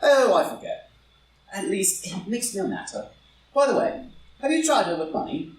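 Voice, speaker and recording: A man's voice: sits high, sing-song, normal speed. A man playing a flippant English dandy lord with a languid, arch, dryly witty manner in a stage comedy reading. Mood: amused, flippant. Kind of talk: live read-through of a stage comedy as audio drama. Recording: echoey room, distant mic, faint noise.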